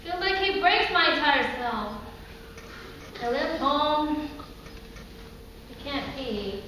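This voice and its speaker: A woman's voice: high-pitched, animated, slow. Actress as a earnest girlish storyteller onstage, echoey. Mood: hurt, indignant. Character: woman reliving girlhood memories, childlike, hurt and earnest. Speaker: stage performer. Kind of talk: theatrical monologue. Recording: echoey hall, distant mic, faint noise.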